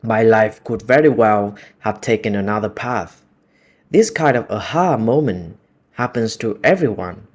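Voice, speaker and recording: A man with a Vietnamese accent, speaking English as a second language in a slightly low voice. He talks in a calm, measured and contemplative way, like a reflective solo monologue. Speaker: video essay host.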